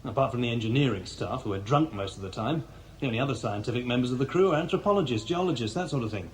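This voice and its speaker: A man plays an edgy crook in a science fiction radio play. He speaks rapidly in a medium-pitched voice, brusque and doubting, quick to snap. There is slight noise behind him. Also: lively intonation, close to an everyday voice. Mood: sceptical, irritable. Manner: brusque, sceptical. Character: edgy, irritable armed art thief. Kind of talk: radio drama acting. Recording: faintly noisy 1980s radio drama.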